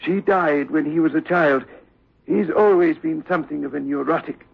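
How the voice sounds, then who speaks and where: An actor playing a composed, compassionate psychiatric doctor, gravely reflective, in a 1960s radio drama on an old recording. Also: male voice, a little high, normal speed, narrow, tinny audio.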